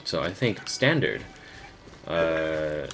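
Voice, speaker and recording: A man's voice: rumbling low, sing-song, extremely slow, a bit bright, faint music behind. A man giving casual gameplay commentary as a video creator, thinking aloud with hesitant phrasing. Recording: noticeable background noise.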